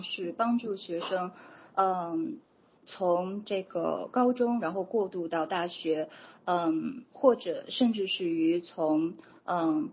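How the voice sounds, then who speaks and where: Lower-voiced woman interpreting, lo-fi. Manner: steady, with frequent filler pauses. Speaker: interpreter and academic advisor. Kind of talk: consecutive interpreting. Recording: dull lo-fi audio with faint noise.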